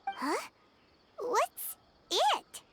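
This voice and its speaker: A woman voicing a tiny, childlike, excitable fairy companion in a video game, squeaky and exclamatory. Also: drawn-out words, full character voice. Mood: curious. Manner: exclamatory, refers to itself by name. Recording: music playing behind the voice.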